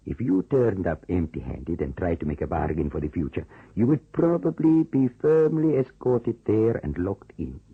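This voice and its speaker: An actor in an old radio drama playing a measured, calculating communist officer, speaking formally and deliberately. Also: male voice, sits low, big pitch swings, breathy edge, no room sound, exaggerated voice. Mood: dry, explanatory. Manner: deliberate, formal, hesitant pauses. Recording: muffled, narrow-band old radio recording.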